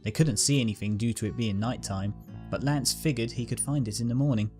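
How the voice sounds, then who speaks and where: A man reads a fan fiction story aloud as the narrator, in a steady voice of middling pitch. Soft guitar music plays behind him. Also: a little fast.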